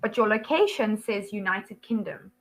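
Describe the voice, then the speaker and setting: A woman's voice: medium pitch, expressive, moderate pace, background noise. A young South African woman with a South African accent, an online English tutor chatting casually over a video call, laughing often and full of ums and likes. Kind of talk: casual one-to-one lesson chat.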